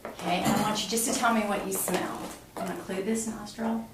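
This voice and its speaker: A woman's voice: mid-range voice, steady tone, quick pace. Middle-aged female clinician, instructing step by step, distant mic in a reverberant, noisy room. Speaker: clinical examiner demonstrating a physical exam. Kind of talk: instructional exam talk, explaining each step to a patient. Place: small cinder-block exam room, indoors. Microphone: camera mic from across the room.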